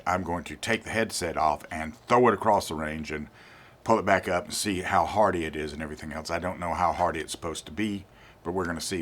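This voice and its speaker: An older man giving an informal gear review as a channel host, speaking deliberately and repeating words for emphasis. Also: low-pitched, a bit bright. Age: 50s or older.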